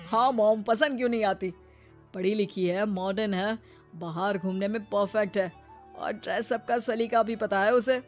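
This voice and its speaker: A woman voicing an eager, picky young adult son in an animated story, agreeable and mixing in English. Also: medium pitch, normal speed, soft background music, lightly acted. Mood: eager, pleased. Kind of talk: animated moral story acting.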